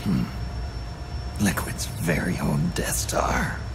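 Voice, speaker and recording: Very deep, gravelly grim soldier. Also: male voice, takes it slowly, background noise, exaggerated voice. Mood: wry. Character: grim, wry, gravelly-voiced male soldier. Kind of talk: scripted game cutscene dialogue. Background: loud music.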